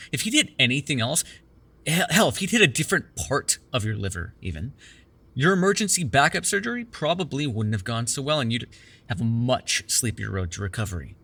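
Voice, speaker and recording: A man's voice: medium pitch, sharp tone, breathy edge, full character voice. A man playing a sardonic but caring captive vampire in an immersive ASMR roleplay, talking in a wry, teasing, profane conversational way. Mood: concerned, scolding. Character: sardonic, caring immortal male vampire held captive in cuffs.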